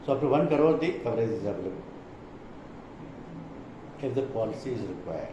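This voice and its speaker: Male trainer, Indian accent, didactic explanatory delivery, captured in a reverberant room with clear noise. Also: medium pitch, animated, a little fast, lo-fi sound. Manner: didactic, reading points then explaining them. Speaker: insurance trainer. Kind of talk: explanatory product presentation.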